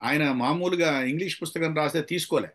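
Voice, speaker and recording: Middle-aged Telugu man teaching, slightly high voice. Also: fairly quick, fairly bright tone. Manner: conversational, rhetorical questions, mixes in English phrases. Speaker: public speaking trainer. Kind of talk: informal lecture with acted examples.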